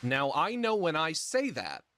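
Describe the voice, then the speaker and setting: A man speaking haltingly with a squeaky, mucus-filled voice and shortness of breath, often slowing down mid-sentence, with dry self-mocking humor. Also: huge pitch swings, sharp tone, no room sound. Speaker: video creator describing his illness. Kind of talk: personal vlog monologue.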